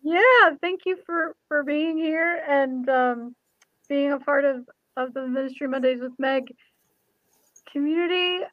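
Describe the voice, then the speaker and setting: A woman with a high voice hosts an informal chat. She talks in a chatty, loose way and lets thoughts trail off. The sound is close and dry, though a little dull. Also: a little slow, no room sound. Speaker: show host; ordained minister, former pastor. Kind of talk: casual interview chat.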